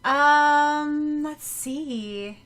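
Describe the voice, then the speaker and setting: A woman's voice: high voice, very slow. A woman co-hosting a podcast at home, telling stories with giggles and lots of "like". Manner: giggly, animated storytelling. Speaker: podcast co-host. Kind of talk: casual chat.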